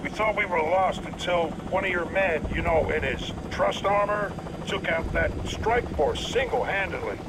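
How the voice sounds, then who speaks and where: President, video game, high male voice, official, relieved, very noisy helicopter transmission. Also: lively intonation, normal speed. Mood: relieved, resolute.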